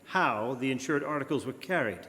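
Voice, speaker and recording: A man playing an earnest, authoritative amateur detective in old-time radio drama style, deliberate and firm. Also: mid-range voice, expressive, pushed and bright. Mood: probing, composed. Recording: live stage, reverberant church hall.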